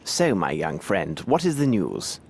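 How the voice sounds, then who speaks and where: Game role: world-weary Victorian master detective, lowish pitch, theatrical and formal, expressive swings. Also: male voice, exaggerated voice. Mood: courteous, curious. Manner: measured, theatrical, formal old-fashioned phrasing. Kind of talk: video game cutscene acting.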